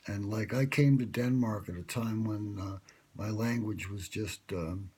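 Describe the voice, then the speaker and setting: A man with a low-pitched, husky voice rambles through a late-night monologue, full of ums and self-mocking asides. He sounds close to the phone he records on. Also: unhurried, mellow. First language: English. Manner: meandering, wry, self-mocking, many fillers. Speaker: vlogger pitching a radio show. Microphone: smartphone held close.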